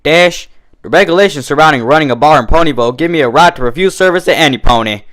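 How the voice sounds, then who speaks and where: A man's voice: on the high side, fast talker, a bit bright, voice pushed up, lightly acted. Guy putting on a country southern farm pony gal bartender, drawly, folksy, wary and stubborn, always cautioning. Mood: dry, wary. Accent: American country Southern.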